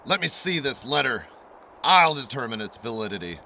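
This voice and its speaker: Bright-voiced, commanding wasteland captain played by a man. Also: medium pitch, sing-song, creaky, slight background noise, full character voice. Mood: stern, commanding. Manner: brisk, authoritative, giving orders. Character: commanding, wary military captain in a post-apocalyptic wasteland. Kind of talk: audio drama acting.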